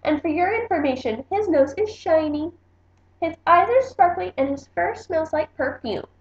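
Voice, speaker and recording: A woman's voice: very high voice, animated, normal speed, echoey room, dull audio, voice pushed up. A woman voicing a bossy, jealous little girl mouse from a storybook, dramatic and imperious. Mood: defiant, proud.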